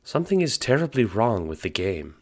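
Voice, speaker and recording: A man's voice: on the low side, sing-song, a little fast, pitched above the performer's own voice. A man voicing a kindly, folksy old grandmother in an audio drama. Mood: worried. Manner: folksy, calls people dear.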